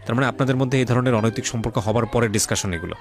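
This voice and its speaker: A man's voice: mid-range voice, rapid-fire. A man with a Bangladeshi accent hosting a radio interview, asking probing questions in a conversational way and mixing in English words. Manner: mixes English words into speech. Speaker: radio show host interviewing a guest. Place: radio studio. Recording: faint music behind. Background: faint music.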